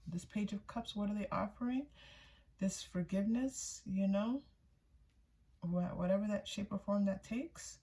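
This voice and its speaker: A woman's voice: medium pitch, measured pace, dark tone, low-quality recording. A woman giving a casual, intuitive tarot reading for viewers, often repeating phrases for emphasis. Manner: voices another person's thoughts in first person. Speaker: tarot reader, channel host.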